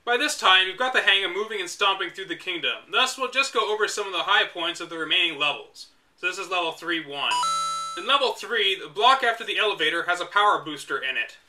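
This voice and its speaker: Young male reader with a high, bright voice; sarcastic commentary between passages. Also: lively intonation, fast talker, soft background music, poor audio. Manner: wry, exasperated asides. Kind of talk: reading a book aloud with sarcastic commentary.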